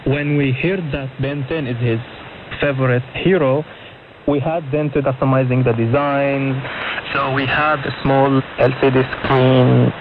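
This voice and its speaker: A man's voice: mid-range voice, steady tone, unhurried, narrow, tinny audio. Young man on noisy shortwave radio. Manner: speaks fluent but non-native English in short phrases. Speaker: interview guest. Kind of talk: recounting personal experiences in a radio interview. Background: clear radio static and noise.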